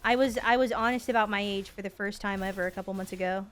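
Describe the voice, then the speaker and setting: A woman's voice: mid-range voice, steady tone, fast, a little hiss or hum. A woman in her thirties giving casual, playful gaming stream commentary, full of slang and swearing. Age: 30s.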